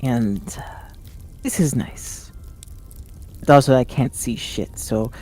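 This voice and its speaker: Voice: medium pitch, slow, darkish tone. A tabletop roleplaying player improvising narration and dialogue in a casual, sweary way.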